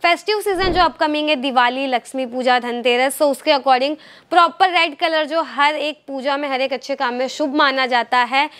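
A young woman from India with a high, bright voice delivers an upbeat, rehearsed sales pitch. She talks fluently and repeats her selling phrases, mixing in English words. It sounds close and clean, like a lapel mic in a shop full of shelves. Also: moderate pace. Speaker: presenter for a wholesale clothing outlet. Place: indoor clothing store with stocked shelves.

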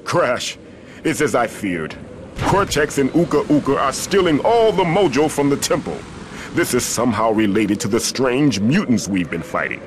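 An actor playing a wise, earnest ancient guardian spirit in a cartoon video game, with a solemn, theatrical delivery. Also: male voice, mid-range voice, sing-song, moderate pace, noisy background, close to an everyday voice. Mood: worried.

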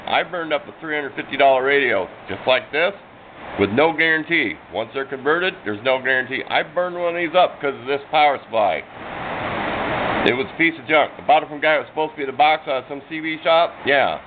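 An American man giving a casual, unscripted show-and-tell, talking in a plain-spoken, rambling, self-deprecating way. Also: fairly high pitch. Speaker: hobbyist radio demonstrator. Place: indoors at a workbench. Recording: tinny phone-like sound with clear background noise.

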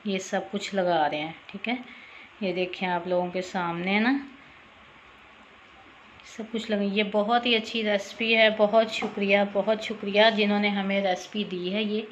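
A woman narrating a cooking tutorial as voice-over, talking casually and directly to her viewers, often pausing with a quick "okay". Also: medium pitch, highs cut off, slight background noise. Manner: chatty, repeats "theek hai", addresses viewers directly. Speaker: cooking channel host.

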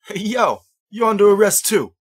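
Man playing a high-pitched boastful cartoon detective. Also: expressive, moderate pace. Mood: triumphant. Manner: boastful, bluffing. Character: boastful, bumbling male detective. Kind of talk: anime parody dub.

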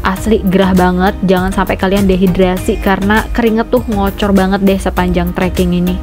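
A woman's voice: mid-range voice, little pitch movement, a little fast, music in the background. A young woman from Indonesia, a travel vlogger, narrating her trip in a chatty, upbeat way and mixing in English phrases. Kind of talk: vlog voice-over and on-the-spot chat. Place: outdoors on a forest trek.

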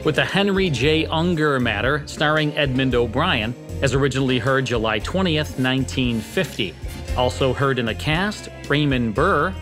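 A middle-aged man hosting a podcast with scripted narration into a studio mic. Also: medium pitch. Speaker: podcast host. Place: small studio, indoors. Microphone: studio mic on boom arm. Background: music.

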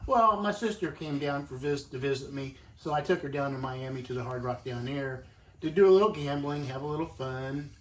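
A man's voice: mid-range voice, a little fast. An older man being interviewed, recounting what happened to him in a rambling way full of fillers, recorded indoors at home. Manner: rambling, filler-heavy storytelling. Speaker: eyewitness interviewee. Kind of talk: recounting a personal story. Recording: roomy, distant, faintly noisy video clip.

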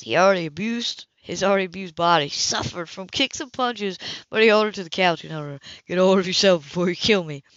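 Woman reading a novel, low-pitched voice. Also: fairly bright tone, no echo, highs cut off. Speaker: audiobook reader. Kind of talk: amateur reading of a romance novel with acted dialogue.

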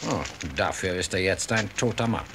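Low-voiced, cool gentleman reporter. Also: steady tone, moderate pace, noisy background. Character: cool, phlegmatic British gentleman newspaper reporter. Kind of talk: radio play acting. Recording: music behind the voice.

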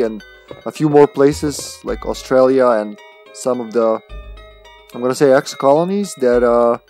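A man gives casual live gameplay commentary. His pitch sits a little on the deep side. He rambles playfully and swears freely, with music playing behind him. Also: a little mellow. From Serbia. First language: Serbian. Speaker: video game livestreamer.

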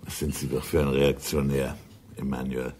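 An actor playing a composed, probing intelligence chief in a radio play, speaking in brief questions. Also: male voice, very deep voice, little pitch movement, normal speed, darkish tone, breathy edge. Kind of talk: German radio play acting. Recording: faint noise on old radio recording.

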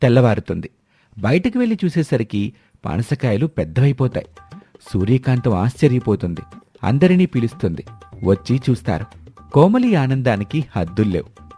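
A man's voice: mid-range voice, big pitch swings, moderate pace, warm tone, breathy edge, no room sound, faint music behind. A man reading scripted narration for a family moral story, in the manner of a storyteller.

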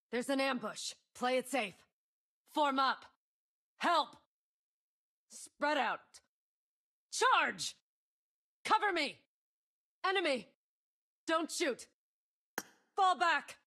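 High-voiced young mercenary, commanding. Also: female voice, animated, takes it slowly, pauses often, pushed and bright, heavily acted. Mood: urgent. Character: confident young female tech mercenary, determined. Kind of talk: video game voice lines, short callouts.

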